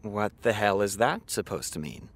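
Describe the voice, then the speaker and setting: An actor playing a sardonic, defensive television writer with a dry, theatrical way of talking, in naturalistic audio drama style. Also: male voice, on the low side, expressive, moderate pace. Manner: dry, sarcastic, dramatic emphasis. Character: sardonic, defensive male TV showrunner, harried and theatrical.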